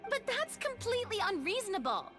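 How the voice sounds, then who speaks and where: A high, youthful adventurer girl, earnest and questioning in video game style. Her voice carries a long hall reverb with faint music behind. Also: sing-song, fairly quick. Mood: alarmed.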